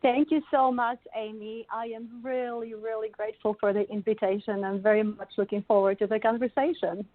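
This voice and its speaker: A woman's voice: a little high, steady tone, a little fast, few pauses, dry recording. Woman, polish accent, middle-aged, chatty interview guest, laughs a lot, phone-like sound, dry. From Poland. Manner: warm, laughs often while telling stories. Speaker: guest, wellness life coach. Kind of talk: casual interview storytelling. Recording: dry, tinny call audio.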